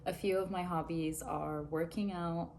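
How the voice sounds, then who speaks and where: Low-voiced young woman giving study advice. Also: fairly even intonation, a little mellow, slight background noise. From Zambia. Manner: measured, earnest, explains with hand gestures. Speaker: dental student interviewee. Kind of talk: direct-to-camera interview answers. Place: small indoor room, plain wall.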